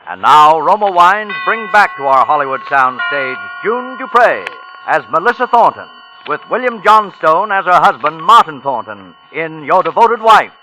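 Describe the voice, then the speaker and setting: High-pitched vintage male radio announcer. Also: sing-song, normal speed, pushed and bright, poor audio. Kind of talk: formal 1940s radio program introduction. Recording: vintage 1940s radio recording. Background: music.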